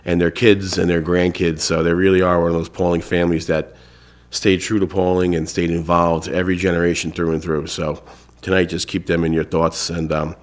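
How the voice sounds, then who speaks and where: Congested deep-voiced man chairing a meeting. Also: flat delivery, brisk, hardly any pauses. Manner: casual, filler-heavy, restarts phrases. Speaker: town supervisor chairing a board meeting. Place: town hall meeting room.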